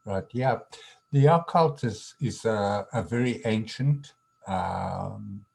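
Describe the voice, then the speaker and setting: A man with a very deep voice that has a husky edge, talking as a guest in a casual interview. He hesitates often and restarts his thoughts. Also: a little mellow. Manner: halting, many ums and restarts.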